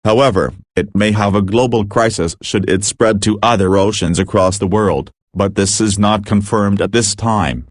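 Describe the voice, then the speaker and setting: A man's voice: lowish voice, fairly even intonation, moderate pace, few pauses, no room sound, studio quality, lightly acted. A man playing a frazzled emergency broadcast announcer in an amateur doomsday drama, mixing formal bulletins with slangy exclamations. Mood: grave. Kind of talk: amateur scripted emergency broadcast narration with informal outbursts.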